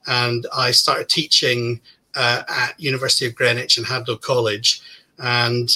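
Bright-voiced man in his forties. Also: mid-range voice, a little slow, poor audio. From Scotland. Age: 40s. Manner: relaxed, self-deprecating, rambling with fillers. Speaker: podcast guest, plantsman. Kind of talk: casual interview talk.

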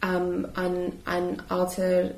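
A woman's voice: fairly low pitch, monotone, drawn-out words, creaky, a little mellow, echoey room. A woman speaking hesitantly in a casual group discussion, stopping often and restarting her sentences. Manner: hesitant, many fillers and restarts.

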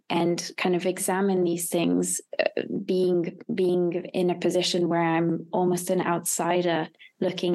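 A woman's voice: lowish voice, a little mellow. A young woman giving casual interview answers as a filmmaker, thoughtful and hesitant as she searches for words. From Hungary. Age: young adult. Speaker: interview guest, experimental filmmaker.

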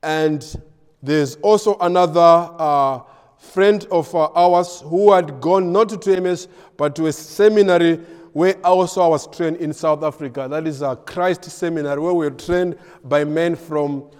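A man from Malawi, a pastor and missionary in his thirties or forties, giving a ministry update with frequent pauses and fillers. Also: on the high side, a little mellow, smooth, big-room sound. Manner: hesitant, many filler pauses.

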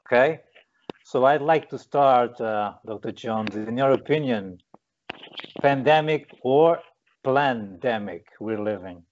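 A man's voice: medium pitch, takes it slowly, slight background noise. A man from Portugal interviewing a guest, hesitant with many fillers and restarts. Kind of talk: video interview. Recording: dull lo-fi audio.

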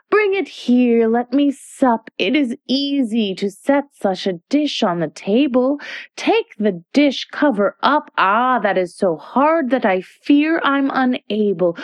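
A woman's voice: fairly high pitch, darkish tone, no room sound. A woman voicing a fussy, flustered elderly queen who coos and murmurs like a pigeon. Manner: cooing, breathy.

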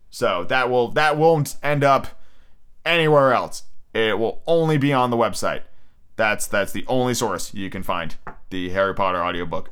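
A man's voice: lowish voice, fairly bright tone, smooth. A man hosting his own podcast in a casual, rambling solo chat, full of fillers and restarts. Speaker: podcast host.

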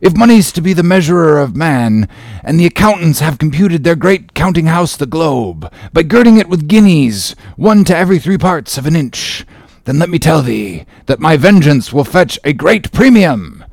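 Here is a man performing a fiery, grandiose old whaling captain from a classic storybook, bent on revenge. He declaims in archaic, impassioned phrases, raised in pitch and swinging widely. The recording is close and dry. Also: big pitch swings, moderate pace, creaky, darkish tone, dry recording, full character voice. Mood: brooding intensity. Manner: declamatory, archaic thee-and-thou speech, impassioned. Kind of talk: dramatic classic novel reading.

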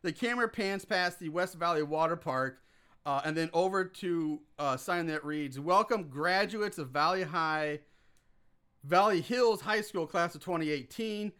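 A man's voice: on the high side, measured pace, a bit bright, smooth. A man co-hosting a podcast, casually recapping a movie scene by scene, rambling, laughing and swearing freely. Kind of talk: casual chat.

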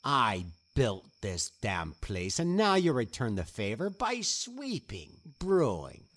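An actor playing a gruff, stingy, bossy tavern keeper in a fantasy audio drama. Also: male voice, mid-range voice, huge pitch swings, slow, fairly bright tone, exaggerated voice. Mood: domineering, scolding. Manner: bossy, grumbling, emphatic.

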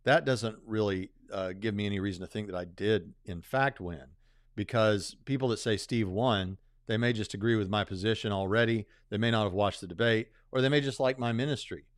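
A man hosting a podcast in casual, animated chat, often repeating words as he speeds along. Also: on the low side, fairly quick, dry recording, clean studio sound. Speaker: podcast host.

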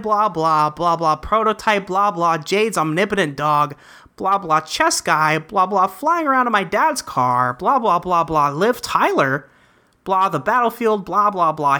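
Girl doing an earnest teen boy, bright voice, swoops around a lot, rambles on breezily like a webcomic dub. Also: on the low side. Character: earnest, rambling teenage boy recapping his wild adventures. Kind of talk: character dub reading of a webcomic.

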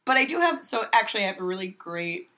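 A young woman co-hosting a podcast in casual chat, her voice a little raspy, laughing often and joking. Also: medium pitch, very animated, quick pace, sharp tone. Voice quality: slightly raspy today. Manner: bubbly, laughs often, jokey asides. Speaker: podcast co-host.

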